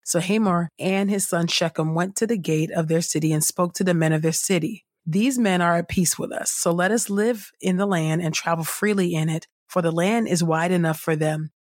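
A woman reading scripture aloud as a podcast host, in a measured, clearly enunciated audiobook style. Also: fairly low pitch, a little mellow, no room sound, studio quality.

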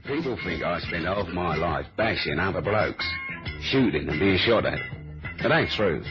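Very deep-voiced, laconic secret agent. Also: male voice, animated, moderate pace, music in the background. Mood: world-weary, dry. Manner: laconic, slangy, matter-of-fact. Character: tough, laconic male British secret agent, cool and sure. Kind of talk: 1970s radio drama acting and first-person narration. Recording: muffled 1970s radio broadcast recording.

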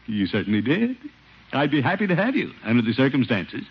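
Flustered needy American neighbor, middle-aged, exclamatory, highly animated, 1950s radio comedy, muffled noisy recording. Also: male voice, brisk, husky. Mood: coaxing. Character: blustering, needy middle-aged small-town bachelor neighbor.

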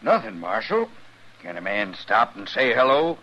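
A man's voice: lowish voice, big pitch swings, moderate pace, bright, forceful tone, exaggerated voice. A man playing a surly, callous rancher in an old radio western, with an American accent and an insolent, drawling manner. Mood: surly, sly. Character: surly, grudging male rancher, callous troublemaker. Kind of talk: 1950s radio western acting. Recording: dull, hissy old radio recording.

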